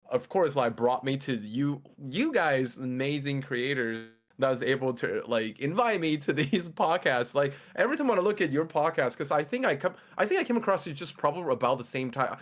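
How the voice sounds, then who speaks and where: A young man whose first language is Mandarin, a podcast guest chatting casually and rambling with lots of fillers. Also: mid-range voice. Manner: rambling, excitable, frequent fillers and laughs. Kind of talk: casual chat.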